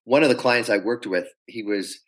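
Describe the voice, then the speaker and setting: Guy with a lowish voice on a podcast, pitch coach, tells stories and does little quote voices. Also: moderate pace. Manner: animated storyteller acting out quotes. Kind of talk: conversational podcast interview.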